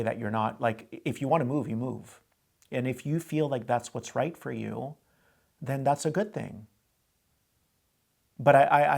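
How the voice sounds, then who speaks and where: A man's voice: mid-range voice, vocal fry, darkish tone. A man hosting a call-in advice show, coaching a caller in a direct way and often repeating himself. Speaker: host giving advice.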